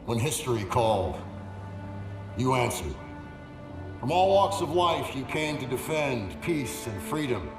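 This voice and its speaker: An actor playing a senior commander giving a formal, measured speech of thanks to his soldiers. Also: mid-range voice, very animated, music in the background. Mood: solemn. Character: male senior commander, solemn, grateful. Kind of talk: formal address. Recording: echoing speech from far off with score.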